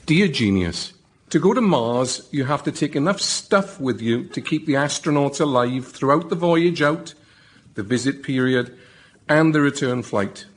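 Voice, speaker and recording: A man reading out a prepared comic pitch as a member of the public, deliberately and with pauses for effect. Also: expressive. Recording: live show, faint audience noise.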